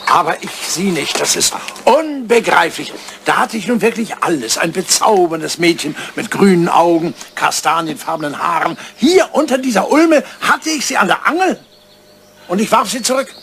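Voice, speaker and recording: An older man, an actor playing an excitable, wistful old lord in love, theatrical and impulsive, in a radio comedy drama. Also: high-pitched, moderate pace, creaky, exaggerated voice. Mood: wistful, reminiscing. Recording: old radio play recording, faint noise.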